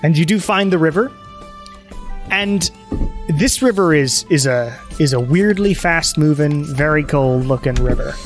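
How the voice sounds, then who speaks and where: A man's voice: fairly high pitch, expressive, unhurried. A man running a tabletop game as game master, narrating loosely with many fillers. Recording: faint background music.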